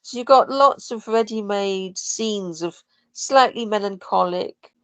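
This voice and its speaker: A woman's voice: medium pitch, a little slow, no echo. A woman chatting casually and thoughtfully about her work on a podcast. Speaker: podcast guest, sound and music designer for audio dramas. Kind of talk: casual chat.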